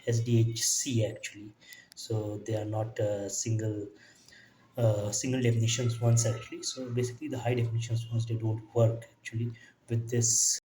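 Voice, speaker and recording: A man giving an informal technical demo in a halting way, often tacking "actually" onto his phrases. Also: mid-range voice, level tone, warm tone, slight background noise. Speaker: electronics hobbyist presenter. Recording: echoey room, distant mic, faint music.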